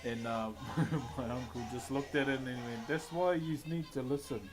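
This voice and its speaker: A man's voice: mid-range voice, steady tone, normal speed. A man with a New Zealand accent, a wood carver chatting casually with live stream viewers in a laid-back, chuckling, slangy way. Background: background music.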